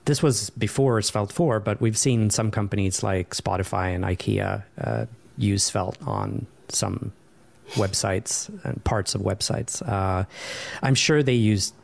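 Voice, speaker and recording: A man's voice: on the low side, a little slow, vocal fry. A man from Sweden with no Swedish accent, chatting easily as a podcast guest and explaining things at length. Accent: none, sounds American rather than Swedish. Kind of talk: casual podcast chat.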